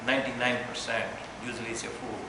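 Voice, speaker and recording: A man giving a press conference speech as the organizer, earnest and halting, often repeating and rephrasing himself. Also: mid-range voice. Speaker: event organizer. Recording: very echoey room with steady noise.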